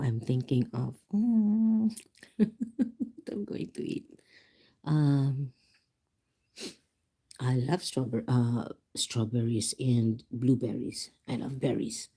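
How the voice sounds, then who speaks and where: A host from the Philippines with a Filipino accent, chatting casually and quietly on a livestream from home. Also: medium pitch, vocal fry, slightly rough. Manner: speaking softly and quietly to avoid disturbing neighbors. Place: home, indoors.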